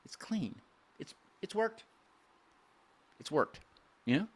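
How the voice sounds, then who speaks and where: A man's voice: high-pitched, very animated, slow, breathy edge. A man hosting a casual group chat, rambling with stammered restarts and frequent laughs. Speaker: podcast host.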